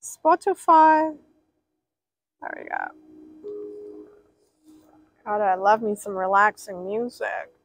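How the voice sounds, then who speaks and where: A woman's voice: medium pitch, expressive, takes it slowly, pauses often, no room sound. A young woman livestreaming outdoors by a pool, rambling casually and reading out viewers' names. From Persian.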